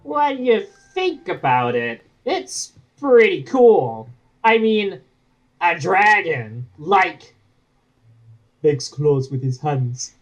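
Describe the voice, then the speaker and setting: A woman's voice: fairly low pitch, sing-song, slow, lo-fi sound, exaggerated voice. A woman voicing a cheeky male dragonfly who jokes and stammers nervously, over faint music. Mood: playful. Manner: stammering, joking, bouncy delivery.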